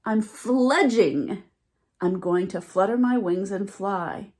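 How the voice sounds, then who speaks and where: Chirpy excited baby bird. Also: female voice, high-pitched, measured pace, pitched above the performer's own voice. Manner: bright, chirping, eager.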